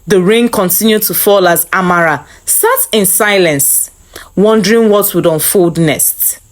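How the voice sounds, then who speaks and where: A woman's voice: mid-range voice, a little slow. A woman reading a scripted dramatic story as narrator.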